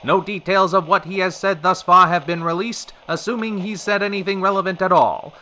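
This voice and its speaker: Man playing a bright, punchy 1930s radio host. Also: high voice, steady tone, fairly quick. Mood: showy, polished. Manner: theatrical announcer delivery. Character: brisk showy 1930s radio show host. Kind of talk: 1930s radio broadcast acting.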